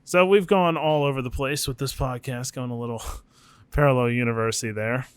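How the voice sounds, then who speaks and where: A man with a mid-pitched voice chats casually as a podcast co-host. He muses informally and swears offhandedly. The sound is dry and close, with very little room in it. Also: steady tone, fairly quick, smooth, no room sound.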